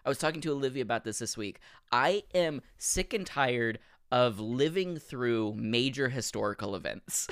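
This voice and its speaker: A man in his thirties co-hosting a podcast, chatting casually, animated and laughing, often restarting his sentences. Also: medium pitch, normal speed, clear, resonant tone, no echo, studio quality. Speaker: podcast co-host. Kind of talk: casual chat.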